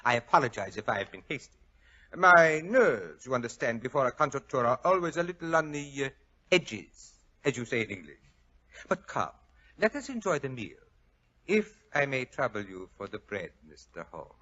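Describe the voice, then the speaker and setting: Fussy theatrical French husband, swooping voice. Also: male voice, mid-range voice, big pitch swings, moderate pace, bright, forceful tone. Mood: smooth, guarded. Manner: flowery, pompous, overly polite. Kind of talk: 1940s radio acting. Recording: dull old-time radio recording.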